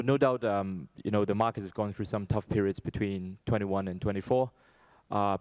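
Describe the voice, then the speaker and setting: Man, low, chatty. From Hong Kong. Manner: relaxed, conversational, frequent fillers. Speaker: hedge fund manager, panel guest. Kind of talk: panel interview.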